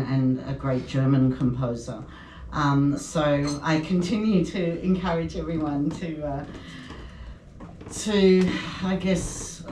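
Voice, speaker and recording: A woman's voice: low voice, mellow. A woman at an open mic introducing a poem, hesitant, with many ums and uhs. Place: indoor venue at a poetry evening. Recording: echoey room, distant mic, faint noise.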